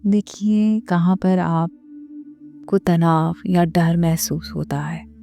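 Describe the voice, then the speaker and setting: A woman leading a guided meditation in a gentle, measured, soothing manner, with pauses between phrases. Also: lowish voice, fairly even intonation. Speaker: meditation guide and series host. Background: background music.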